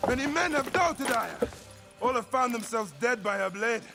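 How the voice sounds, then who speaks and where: A man's voice: very high-pitched, lively intonation, moderate pace, vocal fry, exaggerated voice. A man playing a steadfast, grim Egyptian warrior in a video game, intense and resolute. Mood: urgent. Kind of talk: dramatic video game acting. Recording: prominent music behind.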